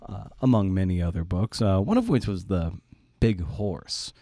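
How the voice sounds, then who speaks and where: A man's voice: low-pitched, expressive, normal speed, dark tone, no room sound, clean studio sound. A man in his forties hosting a conversational interview podcast, rambling and self-deprecating in his storytelling. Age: 40s. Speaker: podcast host and writer. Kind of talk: conversational interview with personal anecdote.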